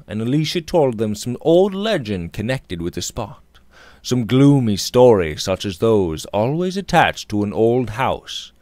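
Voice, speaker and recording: A man's voice: lowish voice, darkish tone, no echo. A man in his thirties reading literary fiction aloud in a theatrical, old-fashioned storytelling manner, close on a microphone. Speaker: audiobook reader. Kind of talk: scripted literary narration. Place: small room indoors. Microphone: close condenser mic on a boom arm.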